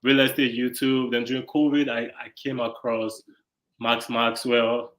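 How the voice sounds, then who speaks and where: Young man from Nigeria, halting storyteller. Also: medium pitch, level tone, fairly bright tone. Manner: halting, many fillers, easygoing. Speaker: guest. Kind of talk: casual interview storytelling.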